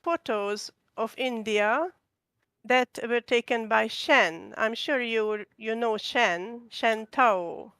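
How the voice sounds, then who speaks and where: Soft-spoken hesitant woman, dry clear voice. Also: a little high, takes it slowly, no room sound. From Hungary. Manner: hesitant, many ums and restarts. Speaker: guest artist. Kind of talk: casual chat.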